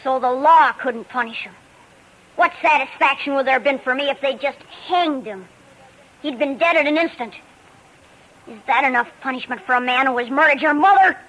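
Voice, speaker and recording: An actor playing a tiny, frail, vengeful madman with a small childlike voice in a 1950s radio thriller. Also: low-quality recording. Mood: bitter, vengeful. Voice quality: high, bright, small childlike voice. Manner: taunting, coldly deliberate. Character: tiny, frail, mad vengeful man with a child-sized body. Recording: vintage 1950s radio recording.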